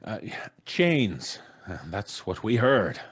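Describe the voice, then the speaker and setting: A man's voice: lowish voice, big pitch swings, takes it slowly, breathy edge, heavily acted. An actor playing a grumbling, stammering, sceptical father in an old-time radio comedy. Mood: blustering. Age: middle-aged. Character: blustering, practical, sceptical husband and father. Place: small home studio on a video call. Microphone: close studio microphone.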